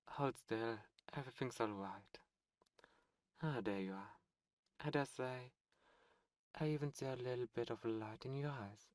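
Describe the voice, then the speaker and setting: A man voicing a suave, teasing male demon prince in an ASMR audio roleplay, soft-spoken and playfully menacing. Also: medium pitch, no room sound, natural voice.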